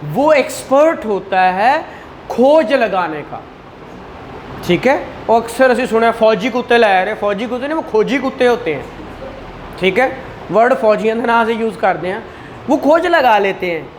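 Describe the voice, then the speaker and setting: A woman's voice: fairly high pitch, lively intonation, moderate pace, a little hiss or hum, rough recording. A woman with a Pakistani accent lecturing a class on law, conversational, asking questions as she explains and switching into English terms. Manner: conversational, frequent rhetorical questions and acted-out dialogue.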